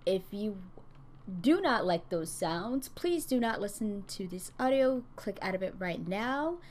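Soft-spoken woman giving a warning. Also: medium pitch, expressive, a little slow. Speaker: audio creator.

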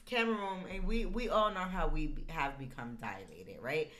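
An American woman hosting a podcast, telling stories in an emphatic, animated way in casual group chat. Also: low voice, normal speed, crowd noise. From United States. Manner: emphatic storyteller, animated, laughs often. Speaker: podcast host.

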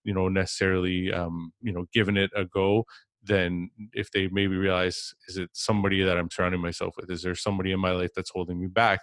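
A man's voice: low voice, a bit bright, no echo, clean studio sound. A man hosting a podcast interview, talking casually with lots of fillers and restarts. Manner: rambling, many fillers and restarts. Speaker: podcast host.

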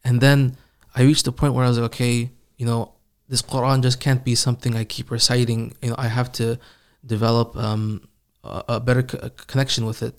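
A man with a middle-pitched voice speaks in a steady, flat tone as an interview guest. He tells his stories calmly and thoughtfully, without much rise and fall in his voice. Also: fairly quick, few pauses. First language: not Arabic. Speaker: Quran reciter, guest. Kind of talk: casual interview.

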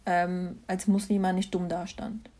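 A woman's voice: fairly low pitch, level tone, unhurried, warm tone. A young woman from Germany, talking casually about her own experiences as an interview guest. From Mannheim, Germany. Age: young adult. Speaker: interview guest, science student. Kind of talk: casual conversational interview, personal account.

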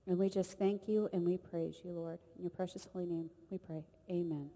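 A woman's voice: lowish voice, fairly even intonation, a little fast. A woman leading a church women's gathering, talking earnestly and conversationally and then praying aloud. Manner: earnest, conversational, warm address to the group. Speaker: ministry leader leading a service. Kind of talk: church service hosting and prayer. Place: chapel or church hall. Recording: long reverb of a large hall.

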